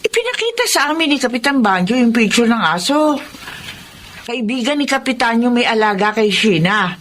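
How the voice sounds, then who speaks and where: A woman's voice: fairly high pitch, big pitch swings, normal speed, a bit bright, a little hiss or hum. An actress playing a chatty, concerned village woman in a Filipino radio drama, matter-of-fact, with a Filipino accent. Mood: informative, concerned.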